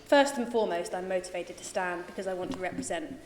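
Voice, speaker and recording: A woman's voice: fairly low pitch, fast, slight background noise. A woman from England standing as an election candidate, giving an upbeat campaign speech with frequent ums. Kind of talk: campaign speech at a public hustings. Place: church hall. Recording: echoey church hall, mic at a distance.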